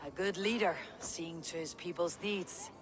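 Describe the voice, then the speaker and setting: An actress playing a steady, proud female Viking chieftain in a video game, measured, wry and courteous. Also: on the low side, close to an everyday voice. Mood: courteous, firm. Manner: measured, wry, self-assured. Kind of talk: video game cutscene acting.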